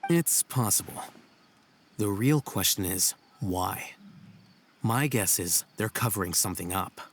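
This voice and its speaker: An actor playing a composed, aloof scholar in a video game, speaking in a measured, formal and dryly analytical way. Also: male voice, lowish voice, expressive, background noise. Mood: explanatory, dry. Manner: measured, dry, formal, matter-of-fact. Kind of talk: video game voice acting, expository dialogue. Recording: music behind the voice. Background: game music.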